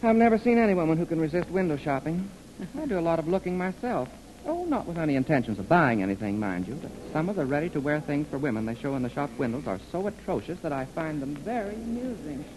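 A man's voice: on the high side, very animated, fast, noisy background. An actor playing a fussy, prissy man who complains theatrically and gets winded easily, in 1930s radio comedy style.

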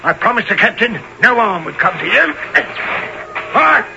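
A crafty pirate ringleader with a high, gravelly voice. He talks in theatrical, swaggering fashion, drawing words out with big swoops. The adventure drama recording is dull and lo-fi. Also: sing-song, heavily acted. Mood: defiant. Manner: theatrical, drawn-out piratical talk. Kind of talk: dramatized adventure story acting. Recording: dull lo-fi radio-like recording, faint noise.

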